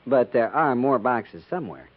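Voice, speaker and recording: An American actor playing a courteous, slightly formal, obliging young cavalry lieutenant in a 1950s radio drama. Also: male voice, medium pitch, expressive, moderate pace, close to an everyday voice. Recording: muffled, noisy 1950s radio recording.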